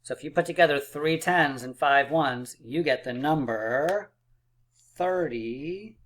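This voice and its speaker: A man teaching a math lesson for children, upbeat and encouraging, a little hoarse and clearing his throat. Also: a little high, slow pace, a bit bright. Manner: upbeat and encouraging, playful drum rolls and counting aloud. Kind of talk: math lesson video for kids.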